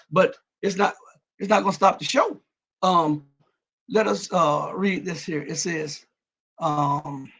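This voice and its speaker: A man with a high, husky voice that cracks and breaks up hosts a political livestream. He reads viewer comments aloud and reacts to them. He often climbs into loud, heated rhetorical questions, with a bright, forceful tone. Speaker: livestream host.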